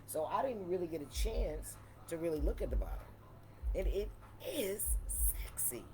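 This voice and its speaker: A woman with a deep voice chatting casually and playfully in a wine tasting vlog, repeating phrases for emphasis. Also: very animated, moderate pace, dark tone, slight background noise. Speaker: vlogger reviewing wine.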